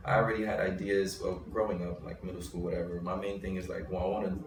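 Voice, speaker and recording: A young man with a very low voice talks through his story in a loose, rambling way, often restarting mid-thought. He sounds set back from the mic in an echoey room. A faint noise sits under him. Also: level tone, fast talker, distant mic. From Bushwick, Brooklyn, New York. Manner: rambling, restarts, thinking aloud. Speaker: rapper and interview guest. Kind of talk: casual interview talk.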